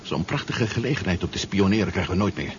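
Radio serial role: nervous yet resolute man on a dangerous dive, rather low voice, muffled vintage sound. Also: expressive, quick pace. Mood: determined. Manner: anxious, questioning, resolute. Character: nervous but determined man on a deep-sea spy mission. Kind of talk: 1970s radio drama acting. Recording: dull, noisy 1970s radio recording.

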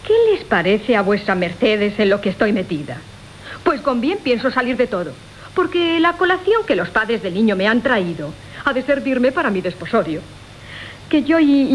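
High, animated, scheming Bárbara. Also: female voice, normal speed, low-quality recording. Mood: conspiratorial. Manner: theatrical, wheedling. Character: scheming, coquettish woman Bárbara, duping her lovers. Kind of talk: Spanish classical comic radio theatre acting. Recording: hissy 1980 radio broadcast.

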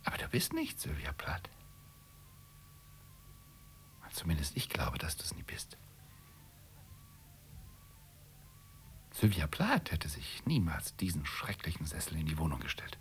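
A man's voice: rumbling low, wildly swooping pitch, brisk, in a whisper, full character voice. An actor playing an irritable, sarcastic husband in a radio play, blunt and needling in his delivery. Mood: cutting, reproachful. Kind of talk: German radio play acting.

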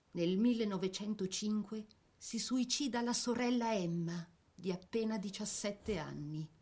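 Solemn woman narrator, medium pitch. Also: darkish tone. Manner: literary, solemn, measured phrasing. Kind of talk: scripted dramatic narration.

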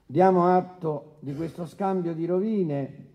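An impatient courtroom judge with a fairly high voice, officious and dismissive, in a radio drama. He cuts in and repeats himself, in a dull recording with long hall reverb. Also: unhurried. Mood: brusque. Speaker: actor.

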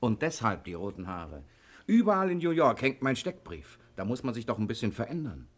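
An actor playing a roguish ex-sailor on the run in a 1960s German radio drama, with a teasing, conspiratorial, casual manner. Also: male voice, mid-range voice, big pitch swings, a little fast, husky.

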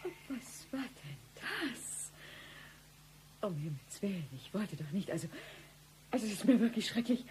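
A woman's voice: mid-range voice, big pitch swings, a little slow, noisy background. An actress playing a flustered, apologetic woman client in a radio drama, hesitant and full of exclamations. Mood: startled, flustered.

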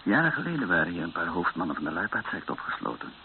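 An actor playing a calm, resigned priest of seventy in a radio drama, speaking quietly and slowly. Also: male voice, low-pitched. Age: 70s. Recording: muffled lo-fi radio recording with hiss.

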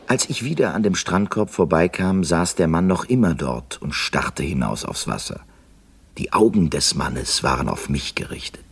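Very deep, gravelly crime-story sleuth. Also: male voice, lively intonation, normal speed, heavily acted. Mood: ominous, haunted. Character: crime writer turned sleuth, narrating his own case. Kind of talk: 1970s German radio crime drama.

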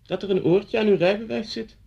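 A man's voice: mid-range voice, sing-song, a little slow, husky, dull audio. An actor in a radio play playing a pedantic, menacing policeman, officious and insinuating in his precise way of talking. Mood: officious, fussy. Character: pedantic, menacing policeman, the teacher's former pupil.

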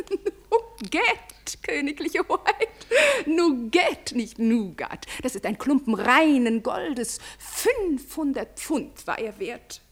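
Radio drama: deferential, gossipy aristocratic lady. High, very animated voice, effusive delivery, theatrical emphasis. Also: exaggerated voice. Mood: amused. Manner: effusive, dramatic storytelling with emphatic drawn-out words. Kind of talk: German radio play acting.

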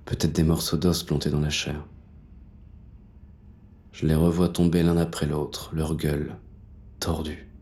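A man narrating audio fiction as a battered, exhausted, haunted male survivor, in a very deep, sometimes breathy voice with slow, halting, dramatic fragments. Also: darkish tone. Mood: haunted, grim.

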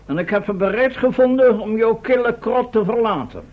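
An actor playing a pompous, moralizing professor in a theatrical, preachy radio drama style. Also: male voice, very high-pitched, a little fast. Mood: self-righteous. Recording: dull, lo-fi radio drama recording.

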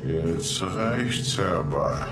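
Deep-voiced, curt company man. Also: expressive, drawn-out words, exaggerated voice. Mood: menacing. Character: curt, menacing male company boss, controlling. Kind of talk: German radio drama acting. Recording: loud music under the voice.

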